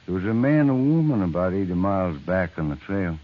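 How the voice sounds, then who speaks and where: Frontier drifter, very low voice, laconic, wary, radio western, muffled vintage recording. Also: male voice, very animated, fast talker. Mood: matter-of-fact, wary. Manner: laconic, matter-of-fact. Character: plain-spoken, wary trail rider newly in town. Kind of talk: 1950s radio western acting.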